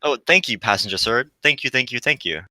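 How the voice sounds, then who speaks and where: A man's voice: medium pitch, lively intonation, a little fast, bright, forceful tone, dry recording, pitched above the performer's own voice. A man voicing an earnest, polite female AI hologram who serves humans in a visual novel. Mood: grateful.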